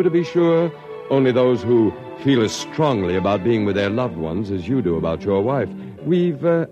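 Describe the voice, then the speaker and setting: An actor playing a courteous, superior alien official in a 1950s radio drama, speaking formally and precisely in measured phrases. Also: male voice, mid-range voice, close to an everyday voice. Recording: muffled old radio recording, music behind.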